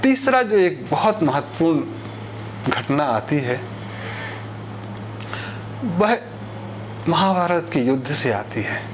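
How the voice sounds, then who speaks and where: A man delivering an expository monologue in a didactic, deliberate way, using rhetorical repetition. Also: fairly high pitch, slight background noise. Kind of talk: expository monologue on social issues with parables.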